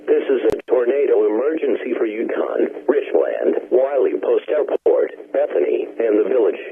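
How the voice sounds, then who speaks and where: A man reading a formal emergency weather bulletin in a measured, impersonal, automated-sounding way. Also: medium pitch. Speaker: weather warning announcer. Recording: tinny narrowband weather radio.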